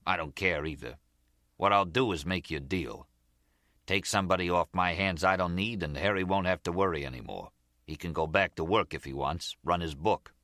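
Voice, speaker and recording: A man voicing a gruff, easily irked crime boss, blunt and commanding, in an audiobook crime story. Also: deep voice, moderate pace, bright, forceful tone, dry recording, clean studio sound. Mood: dismissive, bargaining.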